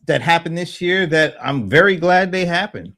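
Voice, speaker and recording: Guy in his 50s just chatting on a panel, voice a bit high, stops and starts a lot. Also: animated, pushed and bright. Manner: halting, repeats words while thinking aloud. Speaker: panelist on a small business show. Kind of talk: casual chat.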